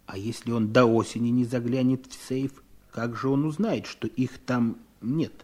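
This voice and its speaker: A scheming middle-aged father played by a man in a vintage Soviet radio play. He coaxes and insinuates in a slightly husky voice of ordinary male pitch, always after his own advantage. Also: fairly even intonation, normal speed, a little mellow, lightly acted. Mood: sly, persuasive. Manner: insinuating, coaxing. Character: scheming coaxing father, middle-aged gentleman, self-serving. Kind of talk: Soviet 1970s radio drama acting.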